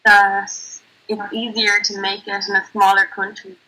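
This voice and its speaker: Bright, thoughtful woman in casual chat. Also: mid-range voice, level tone, measured pace, low-quality recording.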